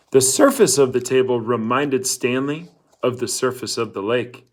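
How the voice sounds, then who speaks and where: A man reading a novel aloud as scripted storybook narration. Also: medium pitch. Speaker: audiobook-style reader.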